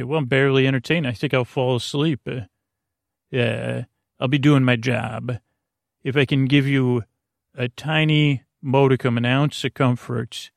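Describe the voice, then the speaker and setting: A man hosting a sleep podcast in a creaky voice, lulling and soothing, meandering off into tangents. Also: mid-range voice, no room sound, studio quality. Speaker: podcast host. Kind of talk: rambling bedtime sleep-podcast monologue. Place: small closet.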